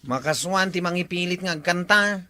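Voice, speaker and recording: A woman's voice: lowish voice, normal speed, sharp tone, no room sound. A woman playing an irritated village meddler in an Ilocano comedy drama, emphatic and complaining, with an Ilocano accent. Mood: matter-of-fact. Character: irritated village meddler enforcing a ban on noisy singing.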